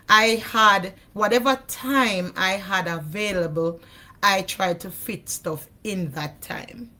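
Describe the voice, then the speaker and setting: Interview guest: Jamaican woman, hoarse, chatty, keeps checking in with the listener, on a video call. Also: mid-range voice, fairly bright tone. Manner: chatty, repeats "you know what I mean". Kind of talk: casual interview chat.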